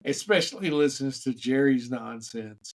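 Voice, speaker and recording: A man's voice: mid-range voice, normal speed. A man co-hosting a podcast, chatting casually with his co-hosts and introducing a guest, often stumbling over and repeating words. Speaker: podcast co-host. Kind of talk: casual podcast banter and interviewing.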